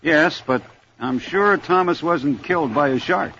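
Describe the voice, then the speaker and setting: A man playing a calm, protective grandfather and seasoned diver in an audio drama. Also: fairly high pitch, unhurried, slight background noise, full character voice. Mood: calm, instructive. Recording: dull lo-fi recording, faint music.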